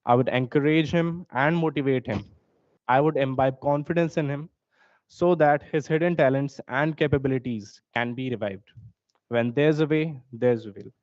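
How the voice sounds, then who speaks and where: A young man with an Indian accent, mid-pitched, talks through a prepared competition speech in a steady, formal way with the odd filler. The recording is dull and lo-fi, and dry. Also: normal speed, dry recording. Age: young adult. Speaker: student competitor.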